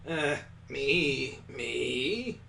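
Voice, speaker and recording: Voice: mid-range voice, animated, very slow, vocal fry, pushed and bright, slight background noise, heavily acted. A gaming host voicing a sheepish boy cook from a visual novel, hesitant and stammering. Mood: nervous, evasive.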